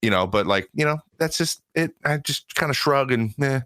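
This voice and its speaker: A man hosting a podcast in casual chat, with a low voice, talking in an easygoing, rambling way with many restarts. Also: lively intonation, normal speed, fairly bright tone, dry recording, clean studio sound. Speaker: podcast host. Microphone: close studio microphone.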